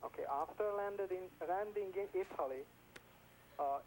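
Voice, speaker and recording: A man from Hungary with a Hungarian accent, speaking earnestly and haltingly as a caller on a telephone line. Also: sits high, a little mellow. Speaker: phone-in caller. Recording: telephone call on noisy old broadcast tape.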